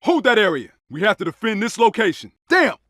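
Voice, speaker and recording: An actor playing a tough, urgent American police officer in a combat video game, speaking in clipped, forceful barks. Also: male voice, high-pitched, big pitch swings, fairly quick, no room sound, clean studio sound, full character voice. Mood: urgent, shouting. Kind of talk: short shouted combat barks.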